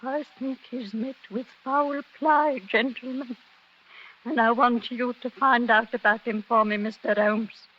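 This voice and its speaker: An actress playing an anxious old village seamstress in a 1940s radio drama, humble and pleading. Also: female voice, a little high, steady tone, a little slow. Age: older. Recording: dull lo-fi 1940s radio recording.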